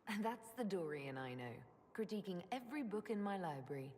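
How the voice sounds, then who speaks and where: Fantasy game heroine: steady leader, caring and direct, mid-range, hall reverb. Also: female voice, mid-range voice, brisk, husky. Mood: gently teasing. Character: composed, caring female leader of fantasy heroes. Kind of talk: video game voice acting. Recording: reverberant hall with background noise.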